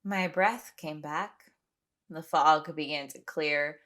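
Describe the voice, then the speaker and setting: A woman's voice: low voice, slow pace, a bit bright. A woman acting in an audio drama as an anxious, exhausted young woman trapped on an island, speaking with emotional intensity. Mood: tense, recounting. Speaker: lead actor in an audio drama. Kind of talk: audio drama acting, first-person recollection and dialogue.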